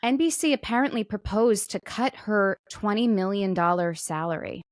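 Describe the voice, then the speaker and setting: A woman's voice: medium pitch, expressive, normal speed, dry recording, studio quality. A woman co-hosting a talk podcast, chatting casually and jokingly about the news. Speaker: podcast co-host.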